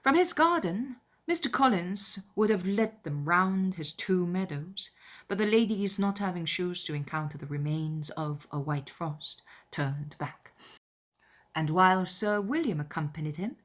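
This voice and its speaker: A woman reading a classic novel as an expressive audiobook narrator, in scripted literary prose. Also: fairly low pitch, a little slow.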